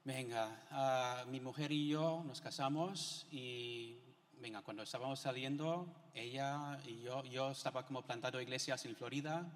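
A man's voice: medium pitch, unhurried, few pauses. A middle-aged man from the United States, a missionary guest giving his testimony in an informal, conversational way. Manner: informal, peppers talk with Spain-style filler words. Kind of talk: conversational testimony in a church interview. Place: large indoor church hall. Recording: echoey hall with long reverb.

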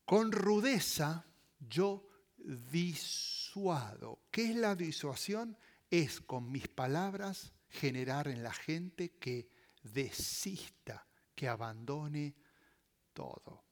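A male preacher with a Latin American Spanish accent delivers his sermon slowly in a high voice. His pitch moves widely as he goes from forceful loud stresses down to hushed phrases. He is deliberate and repeats his main points for emphasis. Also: expressive. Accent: Latin American Spanish with voseo. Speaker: pastor preaching.

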